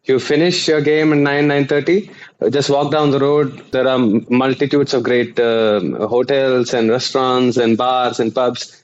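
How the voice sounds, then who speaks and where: Guest journalist: man, mid voice, measured explaining, muffled remote line. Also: medium pitch, steady tone. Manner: explanatory, with many uh fillers and restarts. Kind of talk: conversational interview.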